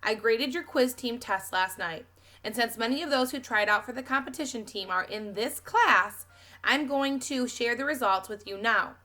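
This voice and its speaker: Woman acting a measured, formal male schoolteacher. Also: a little high, pushed and bright, soft background music, voice pushed up. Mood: formal, proud. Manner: formal, deliberate, dry. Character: measured, proud male schoolteacher with dry authority.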